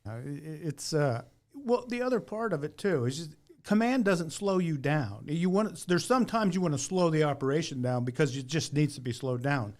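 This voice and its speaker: A man's voice: a little high, lively intonation, normal speed, darkish tone. A man chatting casually about his trade on a podcast, plainspoken, folksy and emphatic. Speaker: podcast panelist and former firefighter. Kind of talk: casual opinionated shop talk.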